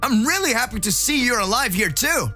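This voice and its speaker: A man voicing a cheerful, excitable young male warrior hero in an anime-style story. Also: very high voice, a little fast, faint music behind, pitched above the performer's own voice, exaggerated voice. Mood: surprised, cheerful.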